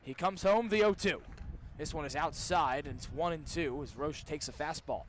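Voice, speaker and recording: A young man with a fairly high voice calls a baseball game live for radio. He works as the play-by-play announcer, describing the action as it unfolds. Ballpark noise is audible behind him. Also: moderate pace. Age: young adult. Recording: clear background noise, live ballpark broadcast.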